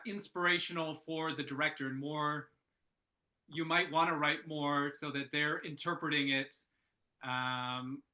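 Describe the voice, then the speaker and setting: A man's voice: on the high side, sharp tone. A man explaining things in a casual discussion, padding his points with conversational filler.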